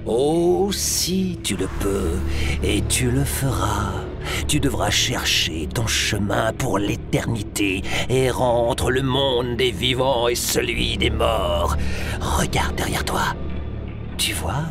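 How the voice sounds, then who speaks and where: A man playing a mocking, furious Devil in an audio drama, theatrical and drawn-out, gravelly, dropping into whispers. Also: medium pitch, lively intonation, over music. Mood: mocking, commanding. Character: mocking, furious Devil bargaining with an old rogue. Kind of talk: French audio fiction acting.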